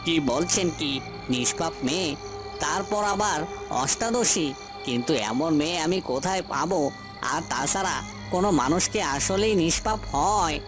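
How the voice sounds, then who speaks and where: An earnest young fairy-tale prince played by a man, cursed and pleading. The male voice sits a little high and stays respectful, with music clearly audible behind it. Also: animated, moderate pace, lightly acted. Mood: surprised, eager. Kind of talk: dubbed cartoon fairy-tale acting.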